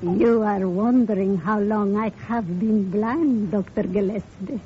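Slow, gracious widow played by an actress. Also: female voice, mid-range voice, lightly acted. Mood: calm, knowing. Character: gracious, serene blind widow running a restaurant. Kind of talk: old radio drama acting. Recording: dull, noisy old radio recording.

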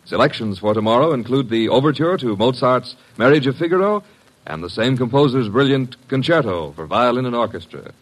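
A man's voice: mid-range voice, lively intonation, a little fast, poor audio. A man announcing in grand, emphatic, scripted mid-century radio style on an old broadcast recording. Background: music.

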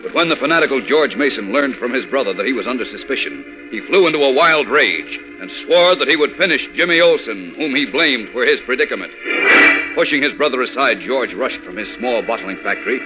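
A man's voice: medium pitch, expressive, fairly quick, over music, poor audio. A man narrating a radio serial as announcer in a dramatic, emphatic 1940s style.

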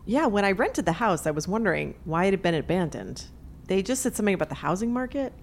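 A woman's voice: medium pitch, big pitch swings, quick pace, vocal fry, slight background noise. A woman playing a skeptical, sarcastic hometown returnee in an audio drama. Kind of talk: naturalistic audio drama acting.

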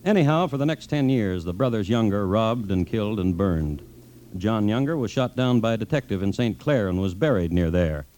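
A man narrating an old radio western in a measured, dramatic, folksy storytelling style. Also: on the low side, lively intonation, mellow, a little hiss or hum, natural voice. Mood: wry, storytelling.

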